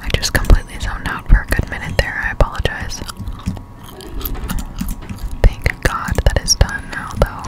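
A young woman whispers casual, halting ASMR gameplay commentary, breathy and close to the microphone. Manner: casual, halting, slangy explanation. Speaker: gaming ASMR streamer. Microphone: close-up desktop condenser microphone.

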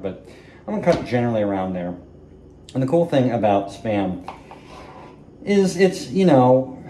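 A man's voice: mid-range voice, dark tone. A man casually narrating while he cooks in a small home kitchen, rambling and self-deprecating, recorded on a camera set up across the room with frying sizzling behind him. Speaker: home cooking vlogger. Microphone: camera mic set up across the kitchen. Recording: echoey kitchen, faint frying noise.

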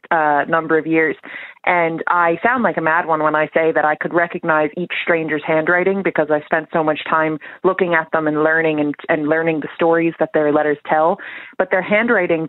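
A woman's voice: deep voice, no room sound. Woman guest on a thin phone line. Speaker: guest, letter collector. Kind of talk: conversational radio interview.

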